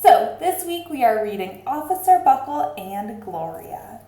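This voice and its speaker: A woman reading aloud expressively to children like a teacher, deliberate and addressing them directly. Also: sits high, sing-song, warm tone. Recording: roomy, echoing sound.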